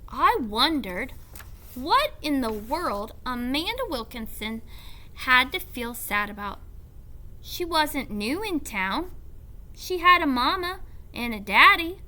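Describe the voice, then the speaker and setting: A woman reads a children's story aloud like a teacher. Her high, bright voice moves deliberately and swoops expressively through the narration. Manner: deliberate, animated.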